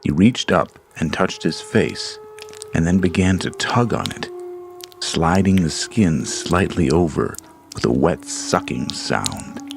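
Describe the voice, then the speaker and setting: A man narrating a horror story in a slow, measured, ominous reading. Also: low-pitched, background noise. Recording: studio voice-over with creepy background music.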